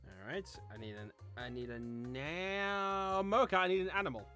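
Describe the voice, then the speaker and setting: A woman's voice: lowish voice, normal speed, music in the background. A woman hosting a casual word game with friends, bantering as she goes. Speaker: host. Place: online voice call.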